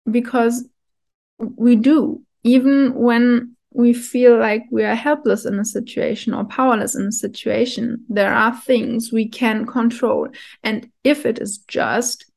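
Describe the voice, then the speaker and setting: Woman, kinda high voice, talks real slow and thoughtful, little soft laughs. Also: steady tone, vocal fry. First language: German. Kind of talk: reflective solo talk.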